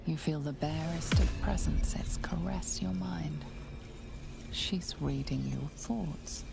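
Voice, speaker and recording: A woman's voice: low-pitched, slow, vocal fry, loud music behind the voice, exaggerated voice. A woman playing an ominous, literary narrator, delivering dramatic second-person narration for a video game. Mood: ominous, hushed.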